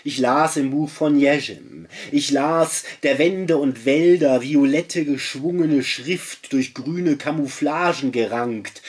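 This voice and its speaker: Husky middle-aged man reciting poetry slowly. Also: medium pitch. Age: 50s. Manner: solemn, incantatory, with deliberate pauses. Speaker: poet reading own work. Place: small book-filled study indoors by a window. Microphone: webcam or desk mic at close range.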